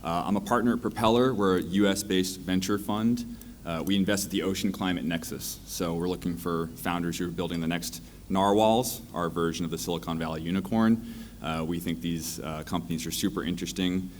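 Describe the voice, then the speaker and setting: Deep-voiced man on a panel. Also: fairly even intonation, brisk, a little hiss or hum. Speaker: venture fund partner, panelist. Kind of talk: conference panel introduction.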